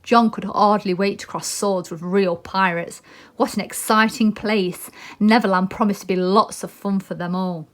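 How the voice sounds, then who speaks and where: A woman's voice: medium pitch, fairly even intonation, moderate pace. A woman narrating a children's storybook expressively. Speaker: storybook reader.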